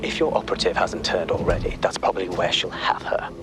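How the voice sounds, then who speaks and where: A man's voice: low-pitched, fast talker, loud music behind the voice, close to an everyday voice. An actor playing a cold, scheming male spymaster, who speaks in a clipped, menacing, controlled way.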